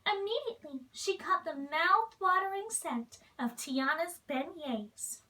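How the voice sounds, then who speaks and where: Very high-pitched woman reading a children's storybook. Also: expressive, unhurried, pushed and bright, recorded from a distance. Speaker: children's story reader appearing as a princess. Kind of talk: expressive storybook read-aloud for children.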